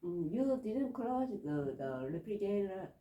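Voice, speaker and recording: Slow, halting Korean woman learner. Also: medium pitch, big pitch swings, warm tone, muffled recording. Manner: halting, with many fillers. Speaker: adult English learner. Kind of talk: reciting a memorized dialogue. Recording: echoey room, faint noise.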